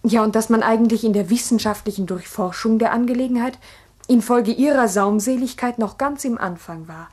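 Husky, earnest schoolteacher. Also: female voice, fairly high pitch, darkish tone. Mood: reflective, earnest. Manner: deliberate, earnest, reflective. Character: earnest, ambitious village schoolteacher recounting events. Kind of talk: 1960s German-language radio drama narration.

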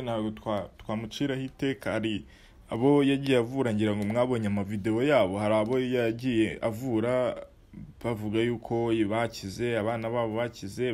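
A man in his thirties giving measured commentary to camera outdoors, with frequent hesitations and restarts. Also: lowish voice, a little mellow. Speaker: online channel presenter.

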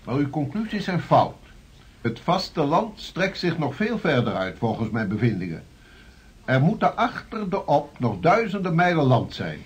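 A learned, argumentative Dutch gentleman in a radio play. He lectures insistently in a husky, fairly high voice with animated swings of pitch. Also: moderate pace, full character voice. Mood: insistent, cocksure. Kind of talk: radio drama acting.

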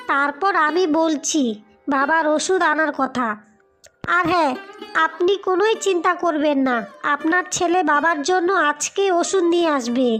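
A very high-pitched young wife from a cartoon village tale, acted by a woman. She is caring but worried, speaking earnestly in a bright, piercing voice over music. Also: full character voice. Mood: concerned, reassuring. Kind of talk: Bengali cartoon fairy-tale voice acting.

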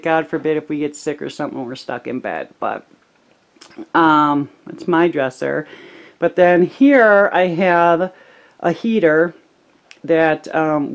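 A woman casually narrating a tour of her small cabin, filming with a handheld camera, chatty and relaxed with lots of ums. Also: on the low side. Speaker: homesteading vlogger giving a home tour.

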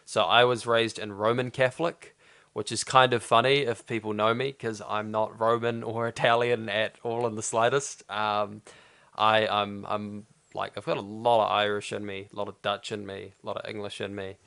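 A man with a low voice and a flat, even delivery co-hosts a relaxed podcast chat. He rambles, corrects himself as he goes, and keeps up a stream of "mm-hmm" while the other host speaks. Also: normal speed, gravelly. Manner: rambling, self-correcting, frequent listener murmurs. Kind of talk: casual conversation.

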